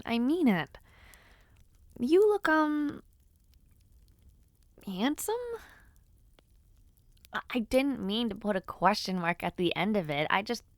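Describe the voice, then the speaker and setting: A woman playing a giddy, bashful young noblewoman in an intimate romance audio roleplay, affectionate and teasing, with soft hesitations. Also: fairly high pitch, no echo. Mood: playful teasing, then awkward, shy. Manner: soft hesitations, gentle teasing, shy giggles. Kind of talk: intimate scripted audio roleplay, speaking to the listener.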